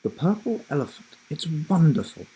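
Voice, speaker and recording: A man's voice: fairly high pitch, very animated, normal speed, dark tone, breathy edge, voice pushed up, heavily acted, rough recording. A man voicing a doting, delighted elderly grandmother from a children's storybook in a sweet, gushing manner.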